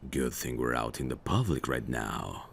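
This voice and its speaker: A man playing a suave, teasing mafia boss in an ASMR audio roleplay, flirtatious with a faint edge of menace and an unhurried manner. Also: very deep voice, lively intonation, gravelly, slight background noise, exaggerated voice. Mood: smooth, casual.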